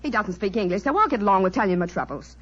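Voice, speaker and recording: A woman's voice: mid-range voice, lively intonation, quick pace, fairly bright tone. An actress in an old-time radio drama playing a brassy, earthy former chorus girl turned island queen, with a breezy, familiar manner. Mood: frank, uneasy. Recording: dull vintage radio recording.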